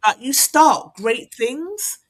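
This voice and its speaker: A woman's voice: high voice, big pitch swings, slow pace. Teasing, encouraging woman host. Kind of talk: casual interview chat.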